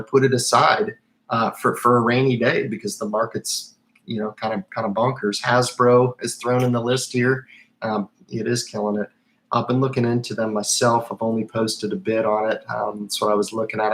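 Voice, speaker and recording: A man in his thirties hosting a casual livestream, reading chat questions and answering in an animated, rambling way with many fillers and restarts. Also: mid-range voice, low-quality recording.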